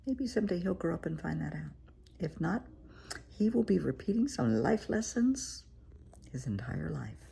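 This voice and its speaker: A woman therapist with a cold, her voice croaky and raspy, giving frank and encouraging advice straight to the viewer from her living room. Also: low voice, big pitch swings, normal speed, dark tone. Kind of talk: casual direct-to-camera advice talk.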